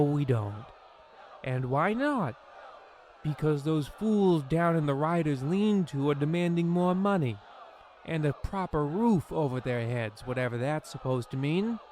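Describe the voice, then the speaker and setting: Over faint background noise and murmur, a man plays a pompous radio host with a slightly raised pitch. He is theatrical and miserly, presenting like a scheming master of ceremonies from an old radio play. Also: darkish tone. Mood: indignant. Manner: theatrical, grandiose. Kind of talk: scripted old-time radio horror host parody.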